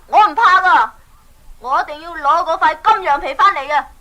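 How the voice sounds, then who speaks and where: A woman's voice: very high voice, normal speed, pushed and bright, low-quality recording. A woman with a Hong Kong Cantonese accent playing a brave, earnest young man in a children's audio drama. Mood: eager, determined.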